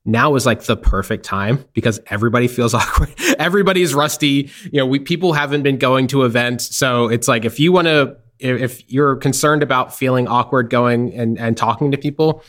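A man's voice: mid-range voice, a little fast, few pauses, fairly bright tone. A man hosting a casual interview, chatty, using many fillers and laughing easily. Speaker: podcast host.

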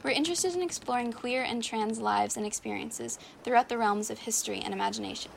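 High, creaky-voiced woman reading credits. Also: fairly quick, slight background noise. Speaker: co-writer and presenter of the show. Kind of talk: scripted podcast closing credits.

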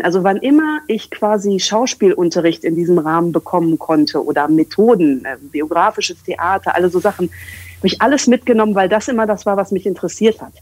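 Casting note: expressive, rambling woman in her 30s, casual interview chatter, close and dry recording. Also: medium pitch, dry recording. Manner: chatty, rambling, many fillers. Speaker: communication coach and English trainer.

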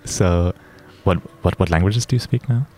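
A man's voice: low-pitched, moderate pace, darkish tone. A man interviewing a guest, asking curious questions and nodding along with short replies. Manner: curious, brief prompts and frequent "mm-hmm". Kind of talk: casual interview.

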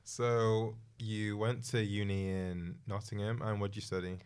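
Very low-voiced woman podcast host. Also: little pitch movement, measured pace, a little mellow, clear, resonant tone. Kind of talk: scripted introduction, then conversational interview.

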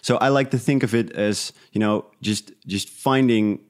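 Low-voiced man in casual interview. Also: moderate pace. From Netherlands. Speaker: interview guest, independent podcast creator.

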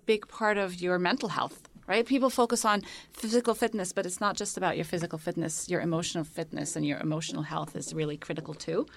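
A woman's voice: fairly low pitch, steady tone, fast, creaky. A woman from Egypt talking as a guest in a panel conversation, articulate and enthusiastic, explaining her ideas with many examples. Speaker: tech company founder, guest.

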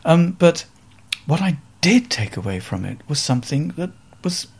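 A man around seventy with a Welsh accent, a photographer telling stories in an interview in a reflective, halting way with many pauses and fillers. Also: fairly high pitch, sing-song, vocal fry, breathy edge. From Wales. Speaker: photographer guest.

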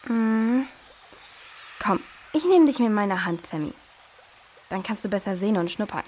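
A woman's voice: medium pitch, animated, normal speed, darkish tone, a little hiss or hum, natural voice. A woman playing a practical, helpful girl searcher in a children's audio drama, inquisitive and matter-of-fact. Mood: curious, helpful.

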